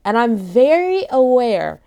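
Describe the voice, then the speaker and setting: A woman's voice: a little high, takes it slowly, smooth. An African-born young woman minister telling her own experiences in a casual, emphatic way, often restarting her sentences. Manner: emphatic, animated storytelling with frequent restarts. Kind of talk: casual personal testimony to camera.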